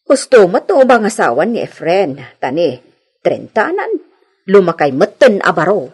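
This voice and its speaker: A woman playing a frank, expressive older village mother in an Ilocano radio drama, with an Ilocano accent. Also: on the low side, moderate pace, slightly rough. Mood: skeptical, frank.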